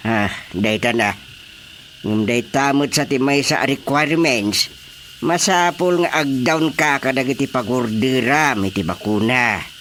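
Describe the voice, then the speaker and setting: A man's voice: medium pitch, measured pace, fairly bright tone, lightly acted. A man playing an earnest, exasperated village chairman in an Ilocano Filipino radio drama, speaking emphatically. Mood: exasperated, explaining. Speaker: voice actor. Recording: faint background noise.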